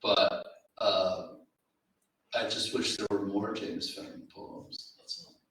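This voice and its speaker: A man making informal, halting and measured remarks at a live literary event. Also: on the low side, fairly even intonation, fairly bright tone. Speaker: event speaker. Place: large reverberant room at a live event. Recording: very echoey room, distant mic, faint noise.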